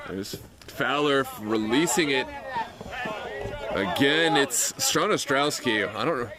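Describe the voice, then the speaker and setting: A man doing loud live play-by-play for an amateur soccer match from the sideline, his voice lifting on attacking moves. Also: medium pitch, slow pace. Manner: loud, excitable calls on attacks. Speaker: play-by-play commentator. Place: outdoors at a soccer field sideline. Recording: outdoor field with crowd noise. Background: crowd.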